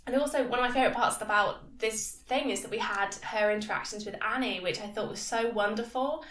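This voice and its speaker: A woman's voice: on the high side, a little fast, fairly bright tone. Nasal woman vlogging in an echoey room. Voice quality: slightly nasal from a cold. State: has a cold. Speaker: YouTube review host. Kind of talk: casual episode review to camera. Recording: echoey room, distant microphone.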